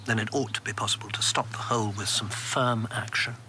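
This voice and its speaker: An actor playing a worried, discreet government confidant in a measured, understated radio drama style. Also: male voice, fairly low pitch, fairly even intonation, bright, forceful tone, a little hiss or hum. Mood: practical. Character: Lewis, worried, principled male government confidant, discreet and pragmatic. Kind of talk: naturalistic radio drama acting.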